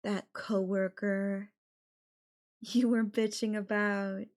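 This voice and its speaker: A possessive yandere girlfriend acted by a woman speaks slowly and intimately, straight to the listener, in an audio roleplay. Her mid-pitched voice coaxes with sweet affection while keeping a controlling, menacing edge. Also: level tone, a little mellow, lightly acted. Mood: smug, possessive. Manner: slow, coaxing, affectionate talk with veiled threats.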